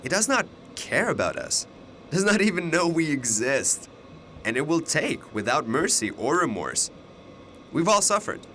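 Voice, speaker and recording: An actor in a radio drama playing a mysterious older alternate self, fatalistic and persuasive, speaking in a deliberate, ominous way. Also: male voice, on the high side, big pitch swings, sharp tone, a little hiss or hum, exaggerated voice. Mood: bitter, intense.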